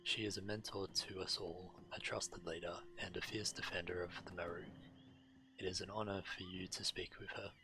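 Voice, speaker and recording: A man's voice: sits low, steady tone, moderate pace, noisy background. A man streaming a game and reading quest text aloud. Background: game music.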